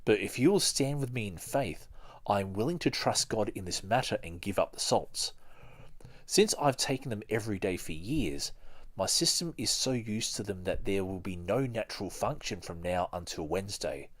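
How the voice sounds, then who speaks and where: Low-voiced man hosting a podcast. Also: animated, moderate pace. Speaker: podcast host. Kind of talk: reading a book aloud with casual commentary.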